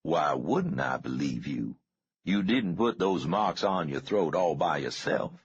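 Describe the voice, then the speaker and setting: A man reading an audiobook, voicing an easygoing male investigator who is unhurried, probing and gently reassuring in a deep, husky voice. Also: expressive, lo-fi sound, a little hiss or hum, exaggerated voice. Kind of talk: audiobook character dialogue.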